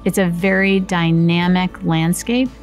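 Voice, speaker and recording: A woman's voice: fairly low pitch, unhurried, soft background music. A woman executive speaking in a polished, assured way in a corporate interview. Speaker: chief sustainability officer.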